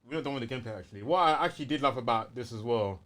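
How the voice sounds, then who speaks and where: Slangy London man, mid-pitched voice. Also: racing, fairly bright tone, slight background noise. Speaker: podcast co-host. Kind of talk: casual game review chat.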